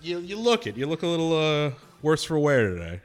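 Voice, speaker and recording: A man hosting a radio show in casual, joking banter, his voice hoarse from a lingering cold. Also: a little high, brisk. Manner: wry, joking, lively. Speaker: radio host. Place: radio studio.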